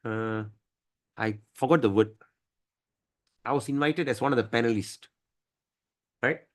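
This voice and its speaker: A man's voice: mid-range voice, unhurried. A man teaching a scripture study class, emphatic and often asking his listeners "right?".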